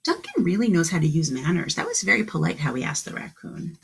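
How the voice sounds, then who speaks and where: A woman's voice: lowish voice, huge pitch swings, fast, gravelly, husky. A woman kindergarten teacher reading a storybook aloud to young children, expressively, with exclamations, questions and explanations along the way.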